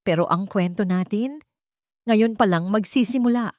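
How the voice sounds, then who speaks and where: A woman's voice: medium pitch, normal speed, darkish tone, dry recording, clean studio sound, lightly acted. A woman voicing a devoted, determined woman in love in a romance story, with earnest emotion. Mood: firm, loving.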